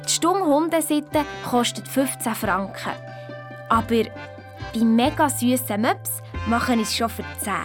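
Young swiss german girl in a kids radio play, high voice, eager n dramatic, music behind. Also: animated, a little slow. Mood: eager, self-assured. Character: eager dog-loving young girl, hotel owners' daughter. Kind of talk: radio play storytelling for children.

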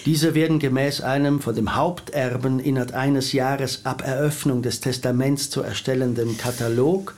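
A man's voice: mid-range voice, fairly even intonation, darkish tone, natural voice. Man acting precise, officious lawyer. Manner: measured, officious, legalistic. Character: formal, precise, courteous male lawyer. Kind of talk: radio drama acting.

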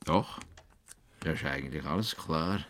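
An actor playing an earnest, self-assured farmer-philosopher in a radio play, pondering and deliberate. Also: male voice, rumbling low, heavy background noise.

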